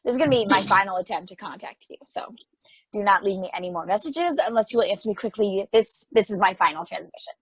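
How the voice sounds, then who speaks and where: Woman acting a pleading, husky, lost space probe. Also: medium pitch, expressive, fast talker, poor audio. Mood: frustrated, urgent. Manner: pleading, hesitant. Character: lonely, frightened, confused space probe pleading for contact. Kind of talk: amateur play table read.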